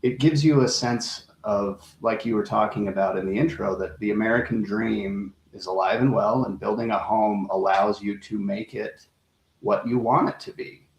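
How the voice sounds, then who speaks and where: A man appearing as a guest expert, answering in a measured, polite and slightly halting way over remote call audio. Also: on the low side, echoey room, low-quality recording. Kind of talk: interview answers.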